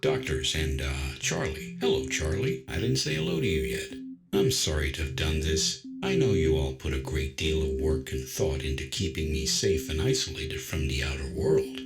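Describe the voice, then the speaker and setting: A man's voice: very deep voice, very animated, normal speed, vocal fry, over loud music, full character voice. A man playing a genial, self-assured artificial intelligence in an audio drama, polite, chatty and matter-of-fact.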